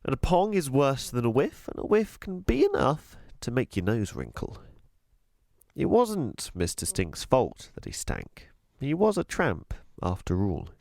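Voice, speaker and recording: A man reading a children's storybook aloud as a teacher would, with expressive, deliberate, emphatic delivery. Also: medium pitch, creaky, no room sound, clean studio sound. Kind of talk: scripted storybook narration.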